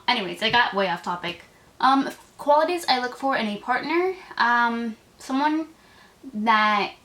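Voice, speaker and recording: A woman's voice: a little high, a bit bright. An eighteen-year-old woman chatting to camera in a casual vlog, gushing and full of "like" fillers. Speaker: vlogger. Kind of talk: casual tag video chat to camera.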